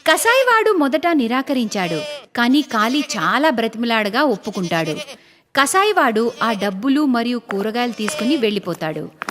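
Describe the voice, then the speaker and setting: A woman narrating a scripted moral story in an expressive storytelling manner. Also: on the high side, normal speed. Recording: long reverb, music underneath.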